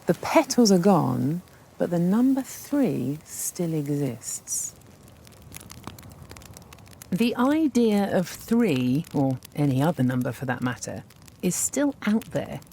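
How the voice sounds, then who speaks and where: A woman in her thirties presenting a documentary in clear, measured scripted narration. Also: low-pitched, mellow, a little hiss or hum. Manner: clear, measured, thoughtful delivery.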